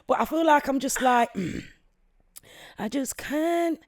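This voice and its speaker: A woman hosting a podcast in casual chat, with a raspy, deep voice and a playful manner. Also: animated, slow pace. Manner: playful, laughs often. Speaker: podcast host.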